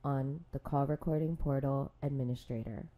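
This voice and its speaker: A woman reading scripted instructions for a software tutorial in a measured, neutral, step-by-step way. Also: extremely low pitch, steady tone.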